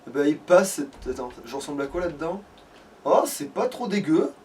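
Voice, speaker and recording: A man giving casual gaming commentary as a let's play host, swearing freely and hesitantly thinking out loud. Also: fairly high pitch, animated, moderate pace, distant mic, a little hiss or hum.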